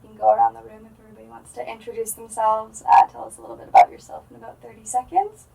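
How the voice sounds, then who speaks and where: Gravelly woman asking questions from afar. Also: a little high, very fast, mellow. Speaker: interviewer. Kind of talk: informal interviewing. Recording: distant mic, faint room noise.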